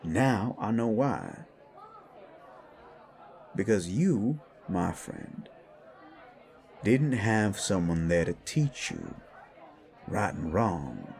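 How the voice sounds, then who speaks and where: Seeking a man for a frank supernatural mentor in audio drama: unhurried, lowish creaky voice, blunt counsel. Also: very animated, darkish tone, some chatter behind, exaggerated voice. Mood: frank, sympathetic. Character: wise, frank male supernatural mentor in a bar. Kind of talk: scripted audio drama dialogue.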